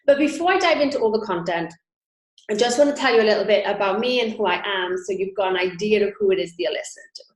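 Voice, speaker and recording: A woman's voice: mid-range voice, expressive, quick pace, echoey room, low-quality recording. A woman in her thirties with a Welsh accent, giving a chatty, upbeat online sales training as a coach. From Wales. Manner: upbeat, chatty, direct to camera.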